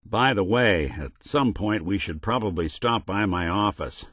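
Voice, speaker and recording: World-weary robot private eye, video game. Fairly low pitch, close dry sound, wry hard-boiled delivery, loyal at heart. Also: male voice, animated, unhurried, fairly bright tone, no echo. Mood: wry, matter-of-fact. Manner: wry, understated, old-fashioned hard-boiled phrasing. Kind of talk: video game character voice lines, film noir detective acting.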